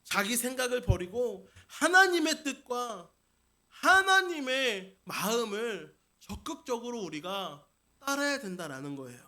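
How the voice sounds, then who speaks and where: High, bright-voiced male pastor preaching. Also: sing-song, a little slow. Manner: emphatic preaching, addresses the congregation, repeats key phrases. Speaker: senior pastor. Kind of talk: Sunday sermon. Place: church sanctuary during a service.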